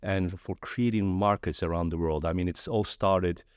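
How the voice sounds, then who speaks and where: Man, from Turkey, middle-aged, very low voice, dry close sound, friendly interview guest. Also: moderate pace, smooth, no echo. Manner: friendly, animated storytelling with restarts. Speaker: guest, trade fair executive. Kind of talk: conversational interview.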